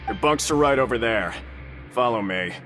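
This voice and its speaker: An actor playing a brusque prison guard in a video game, giving curt, commanding orders to new inmates. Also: male voice, mid-range voice, expressive, normal speed, a bit bright, soft background music, lightly acted. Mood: stern. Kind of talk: English video game voice acting.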